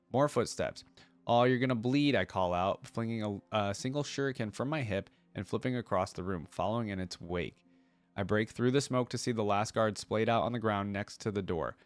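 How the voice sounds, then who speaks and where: A man reading a story aloud as a cocky, playful young female assassin, with cool, mocking, measured delivery. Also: on the low side, no echo, natural voice. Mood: menacing. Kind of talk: first-person story read-aloud.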